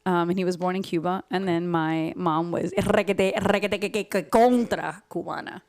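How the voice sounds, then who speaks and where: A woman's voice: on the low side, steady tone, moderate pace, darkish tone. A woman from Miami and New York talking as a performer guest in a casual, comedic interview, with a playful, theatrical way of speaking and bits of Spanish. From Miami and New York, United States. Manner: theatrical, playful, bursts into sung syllables and Spanish phrases. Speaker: guest, singer and actress.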